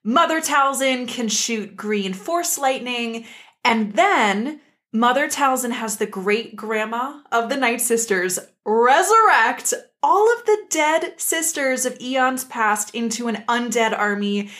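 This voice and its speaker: A woman's voice: on the high side, expressive, takes it slowly, fairly bright tone. A woman co-hosting a podcast, giving an enthusiastic, casual story recap in a playful, slangy way. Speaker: podcast co-host.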